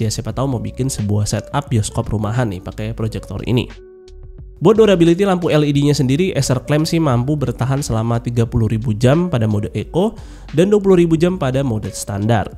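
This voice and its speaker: A man's voice: mid-range voice, warm tone. A young man giving a casual product review as a voice-over, his voice nasal and stuffy from a cold, chatty and informal. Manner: chatty and informal, with many filler particles. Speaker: tech reviewer. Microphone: voice-over mic.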